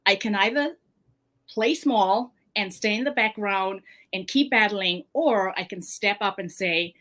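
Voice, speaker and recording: A woman's voice: mid-range voice, a little slow, fairly bright tone. A woman with a South African accent, a guest author sharing personal experiences in a casual interview, earnest and given to laughing as she talks.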